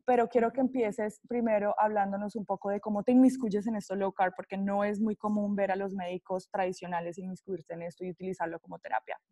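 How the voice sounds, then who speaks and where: A woman's voice: medium pitch, steady tone, quick pace, hardly any pauses, mellow, dry recording. A woman hosting a podcast, enthusiastically reading her guest's background and asking questions. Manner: enthusiastic, reads guest bio. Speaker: podcast host. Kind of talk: podcast introduction and interviewing.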